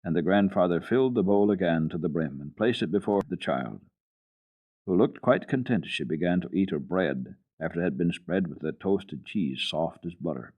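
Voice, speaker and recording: A man reading a children's story for radio in an expressive, deliberate, theatrical way. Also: deep voice, phone-quality sound.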